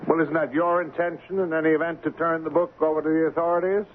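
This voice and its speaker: A man's voice: fairly high pitch, little pitch movement, fast, natural voice. Man acting a pompous shipping boss, tinny radio sound. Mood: tense, indignant. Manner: pompous, formal, condescending. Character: haughty, evasive, callous shipping company boss. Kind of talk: old-time radio drama acting. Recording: lo-fi, noisy radio drama recording.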